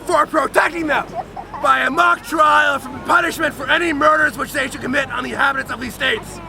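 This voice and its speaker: A man giving a loud, dramatic, emphatic reading of a historical document outdoors, with deliberate phrasing. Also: very high voice, fairly even intonation, vocal fry. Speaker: reader at an outdoor public reading. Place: outdoors on a lakeside beach. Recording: outdoor crowd and motorboat noise.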